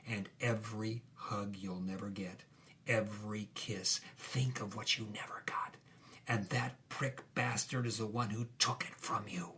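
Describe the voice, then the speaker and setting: A man playing an intense, goading old-Hollywood film director, hushed and urgent, with tough noir-style delivery. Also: fairly low pitch, fairly even intonation, a little slow. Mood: goading, menacing whisper. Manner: hushed, urgent, conspiratorial whispering.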